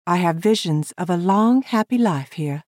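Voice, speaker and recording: A woman's voice: lowish voice, lively intonation, moderate pace, a little mellow, dry recording, clean studio sound, pitched above the performer's own voice, close to an everyday voice. A woman voicing a polite, earnest young frontier lady who speaks formally.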